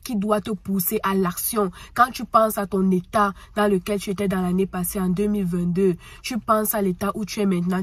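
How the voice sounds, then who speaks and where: A woman's voice: mid-range voice, slightly rough, no room sound. A woman with a cold giving an earnest motivational talk, speaking directly to the listener and repeating her points. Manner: earnest, repetitive, addresses listener as tu. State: has a cold.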